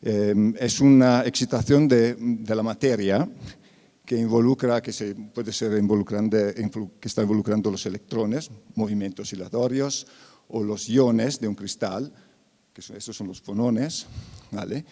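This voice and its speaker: A man with a fairly low, mellow voice delivers an academic lecture in a long-reverb hall. He speaks haltingly, restarting phrases and filling pauses as he goes. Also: steady tone. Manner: halting, frequent fillers and self-corrections. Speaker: invited lecturer.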